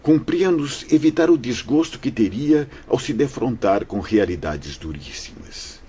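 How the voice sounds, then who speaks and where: A man's voice: mid-range voice, expressive, measured pace, dark tone, slight background noise, lightly acted. A man playing a kindly, earnest spirit missionary recounting his journey in an audio drama, solemn and emotive. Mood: emotional, nostalgic. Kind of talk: audio drama acting, storytelling. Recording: dull audio with background music.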